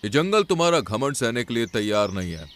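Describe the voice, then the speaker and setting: A man's voice: mid-range voice, sing-song, brisk, fairly bright tone, voice pushed down. A man voicing a stern, wise lion who rules the jungle in an animal fable cartoon, speaking with authority. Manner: authoritative, admonishing.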